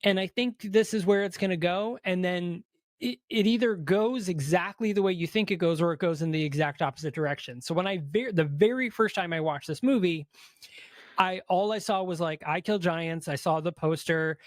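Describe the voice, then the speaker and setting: High-voiced man hosting a podcast. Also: dry recording. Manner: quick, loose and talkative, many fillers. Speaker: podcast host. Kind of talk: casual movie review chat.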